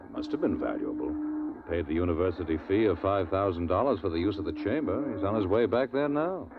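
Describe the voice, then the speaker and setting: A fussy, bookish physicist. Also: male voice, sits low, expressive, a little fast. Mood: earnest. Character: bookish male temporal physicist, fussy and earnest. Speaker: university technician. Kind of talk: 1950s radio drama acting. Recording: tinny old radio broadcast with music.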